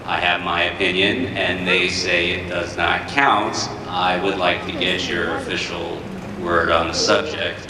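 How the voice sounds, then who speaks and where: A man's voice: deep voice, level tone, a little slow. A man from New Jersey with a New Jersey accent, asking a fan question in earnest, rehearsed phrasing. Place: large convention hall. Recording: echoey hall from far off with noise.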